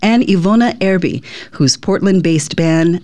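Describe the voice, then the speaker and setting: A woman's voice: lowish voice, unhurried, darkish tone, dry recording. Upbeat woman radio host, dry studio sound. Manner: friendly and upbeat. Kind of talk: radio interview.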